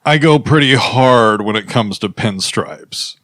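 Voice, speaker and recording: A man improvising comedy, making pompous, mock-profound pronouncements in a deadpan way. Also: fairly low pitch, measured pace, dry recording, clean studio sound.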